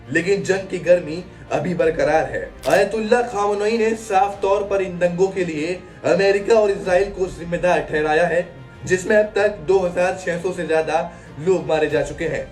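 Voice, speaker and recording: A man with a high voice reads a scripted news explainer. His delivery is dramatic and leans on rhetorical questions. He sounds set back from the mic, with music running underneath. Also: distant mic. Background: background music.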